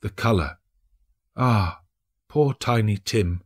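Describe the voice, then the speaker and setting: A man voicing a gentle grieving mother in a storybook reading, tender and faltering, trying to stay cheerful. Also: fairly low pitch, slow pace, husky. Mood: weary sorrow. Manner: tender, faltering, repeating words.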